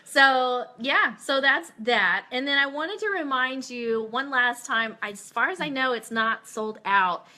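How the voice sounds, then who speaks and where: A woman's voice: sits high, lively intonation, a little slow, few pauses, bright, forceful tone. A woman hosting a casual fan radio show, talking in an enthusiastic, informal way as she plugs things.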